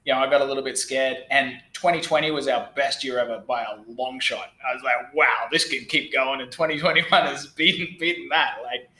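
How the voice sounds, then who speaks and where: A man's voice: medium pitch, fairly even intonation, a little fast, hardly any pauses, bright, forceful tone, echoey room. A man in his thirties from Australia with an Australian accent, a podcast guest talking bluntly and casually, often restarting his sentences. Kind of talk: casual interview talk.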